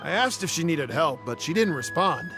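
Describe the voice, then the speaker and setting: Higher-voiced, helpful townsman. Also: sing-song, normal speed, music in the background. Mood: thoughtful, concerned. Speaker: video game character voice. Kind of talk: scripted game dialogue.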